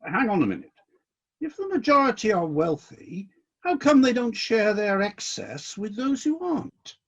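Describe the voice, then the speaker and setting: A man acting in a radio play as God, a disillusioned but decisive ruler of heaven, with indignant questions and deliberate commands. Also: high-pitched, sing-song, highs cut off, exaggerated voice. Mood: incredulous, dismayed.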